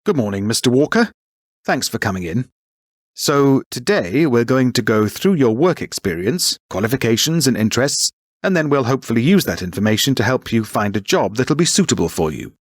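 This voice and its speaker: A man plays a careers advisor in a scripted textbook listening dialogue. He asks polite, businesslike questions, and his pitch is lively and varied. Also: a little fast.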